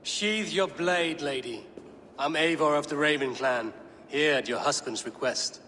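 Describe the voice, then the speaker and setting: A man playing a blunt, steely Viking warrior in a game cutscene, with measured, terse, formal lines. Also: a little high, sharp tone, big-room sound, slight background noise. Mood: firm, calm. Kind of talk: dramatic game cutscene acting.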